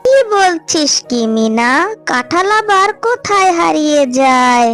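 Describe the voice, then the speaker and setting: A kindly cartoon mother fox, voiced by a woman, gentle and caring. She speaks slowly in a fairly high voice, with soft music behind. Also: animated, exaggerated voice. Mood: concerned. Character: kind, helpful mother fox. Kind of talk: cartoon character dubbing. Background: background music.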